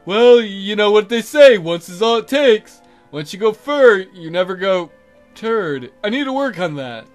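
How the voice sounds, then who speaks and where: A man's voice: high voice, expressive, unhurried, soft background music, voice pushed up. A man voicing a cocky, lecherous young wolf suitor in a visual novel, insistent and blustering.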